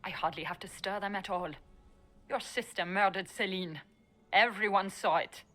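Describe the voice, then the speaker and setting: An actress voicing a cunning elven spymaster in a video game, sly and controlled. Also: female voice, mid-range voice, normal speed, bright, forceful tone, close to an everyday voice.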